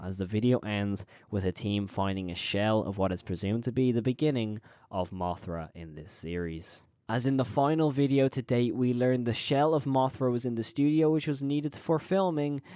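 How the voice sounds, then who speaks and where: A man's voice: mid-range voice, a little mellow, smooth, dry recording, clean studio sound. A man presenting a scripted video essay as the host of a horror review channel.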